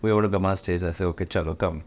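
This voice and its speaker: Middle-aged US promoter, Gujarati native, low voice, easygoing bilingual interview talk. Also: male voice, rapid-fire. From USA, born in India. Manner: relaxed, mixes English with Hindi, frequent fillers and light laughs. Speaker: event promoter, interview guest. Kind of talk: casual interview conversation.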